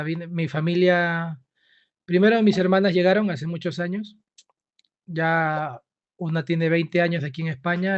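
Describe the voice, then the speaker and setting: A man in his thirties speaks with a Peruvian accent, his voice pitched high and smooth. He answers in a soft, hesitant way, pausing often. The sound is dull and lo-fi. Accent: Peruvian Spanish. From Peru. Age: 30s. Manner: soft-spoken, hesitant, pauses with fillers. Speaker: author being interviewed. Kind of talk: casual interview answers.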